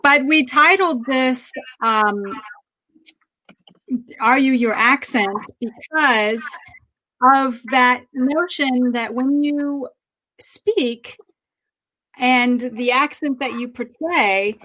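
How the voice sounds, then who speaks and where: A woman with an American accent, co-hosting a casual podcast and talking in a reflective, measured way with frequent pauses and ums. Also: high voice, pushed and bright, rough recording. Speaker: podcast co-host.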